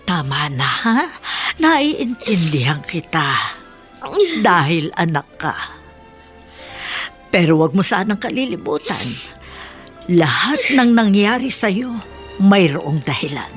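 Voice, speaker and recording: Gently firm older woman confidante. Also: fairly low pitch, sing-song, slow pace, long pauses. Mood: earnest, consoling. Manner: gentle, earnest counselling. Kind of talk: Tagalog radio drama acting. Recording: music behind studio drama voice.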